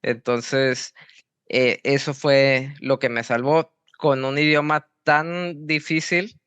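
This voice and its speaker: A young man from Mexico, a football coach answering interview questions in a halting way with many restarts. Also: mid-range voice, little pitch movement, a bit bright, clean tone, no room sound. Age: young adult. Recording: dry, slightly dull sound.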